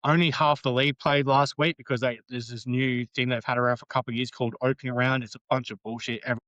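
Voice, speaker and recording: A man's voice: medium pitch, little pitch movement, fast, a bit bright, dry recording, highs cut off. A man in his late thirties with an Australian accent, chatting casually as a podcast guest, rambling with frequent ums and restarts. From Western Australia. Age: 30s.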